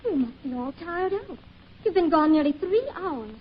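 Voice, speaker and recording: Caring housewife, high voice, gently coaxing, radio drama, muffled old recording. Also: female voice, very animated, normal speed. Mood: concerned.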